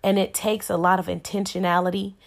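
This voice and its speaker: A woman in her thirties with a somewhat low-pitched voice talks to her podcast listeners about faith. Her delivery is inspirational and earnest. She leans on key words and repeats phrases in the manner of a preacher. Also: level tone, fairly quick, creaky. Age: 30s. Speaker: podcast host.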